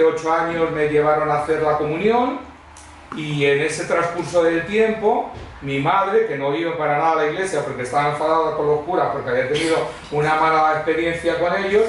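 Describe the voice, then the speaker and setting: A middle-aged or older man gives a personal testimony to a church congregation in a conversational, expressive, digressive way, telling humorous anecdotes. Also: fairly high pitch. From Madrid, Spain. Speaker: guest preacher giving testimony. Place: church hall before a congregation. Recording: very echoey hall, distant mic, faint noise.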